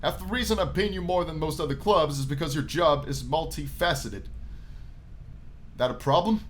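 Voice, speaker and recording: A man plays a jaded nightclub boss from a visual novel game. He talks bluntly and with slang, worn out and unimpressed. The voice sits a bit high for a man, with noise behind it. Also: normal speed, close to an everyday voice. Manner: blunt, slangy, world-weary.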